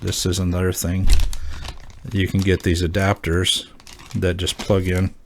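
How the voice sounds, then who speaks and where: A man hosting a hobby gear video, talking casually and off the cuff in a halting, folksy, informal way. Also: low-pitched, completely flat delivery, slight background noise. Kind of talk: casual show-and-tell talk.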